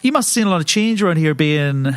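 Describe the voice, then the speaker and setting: A man's voice: a little high, lively intonation, quick pace, clear, resonant tone, dry recording. A man playing a tabletop game, improvising casual, agreeable chat in character.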